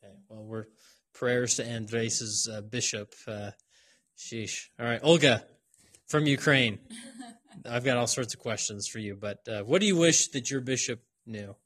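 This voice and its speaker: A man's voice: medium pitch, unhurried, a bit bright. Joking man hosting a classroom podcast. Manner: joking, laughs often. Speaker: podcast host and college instructor. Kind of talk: casual classroom podcast chat. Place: classroom on a college campus.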